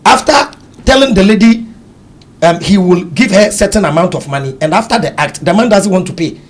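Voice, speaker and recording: A man's voice: high voice, brisk. A man, a panel guest who argues his points with stammering restarts. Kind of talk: panel discussion.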